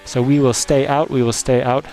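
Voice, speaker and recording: A man's voice: mid-range voice, level tone, moderate pace, darkish tone. A male race engineer giving short, repeated instructions to a driver over team radio. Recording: noisy motor racing team radio.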